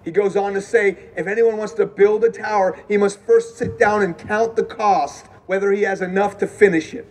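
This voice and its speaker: A man in his thirties from Houston preaching an evangelistic sermon to a student crowd, animated and conversational, questioning the room. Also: high voice, moderate pace, hardly any pauses. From Houston, Texas. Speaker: campus preacher. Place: dark stage in a large hall.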